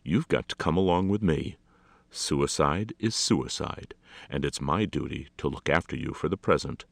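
Forbidding older inspector, acted by a male reader: coldly official, firm and snappish, creaky, very dry sound. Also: extremely low pitch, normal speed, dry recording, heavily acted.

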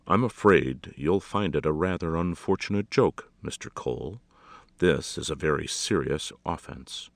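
Forbidding older inspector, acted by a male reader: coldly official, firm and snappish, creaky, very dry sound. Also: extremely low pitch, unhurried, fairly bright tone, no room sound, full character voice. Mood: stern, sardonic.

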